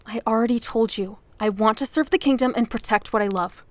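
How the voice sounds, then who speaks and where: High, headstrong young woman played by an actress. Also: a little fast, close to an everyday voice. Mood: insistent, defensive. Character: headstrong, earnest young woman eager to fight. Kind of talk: audio drama acting.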